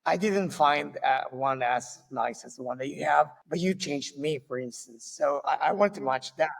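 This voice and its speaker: A male podcast host from Argentina speaks English with an Argentine Spanish accent, friendly and a little halting. His voice has a gravelly edge. The recording carries a long, hall-like reverb. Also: a little high. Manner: friendly, halting with small restarts. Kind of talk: casual interview.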